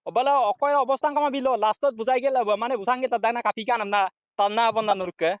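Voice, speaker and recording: A man's voice: very high voice, fast talker, clean tone, no room sound. A man in a heated, slangy phone argument, confrontational and scolding, repeating himself. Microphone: phone call. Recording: muffled dry phone call recording.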